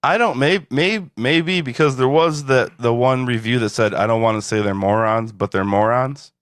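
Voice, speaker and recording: A man co-hosting a casual podcast, dry and deadpan, reading listener reviews with a chuckle. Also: mid-range voice, moderate pace, no echo. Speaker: podcast co-host.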